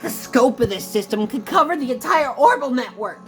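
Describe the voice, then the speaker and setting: A woman's voice: high voice, expressive, a little fast, fairly bright tone. A woman doing amateur voice acting of video game cutscene dialogue. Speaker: hobbyist voice actor. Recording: music behind the voice. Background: game music.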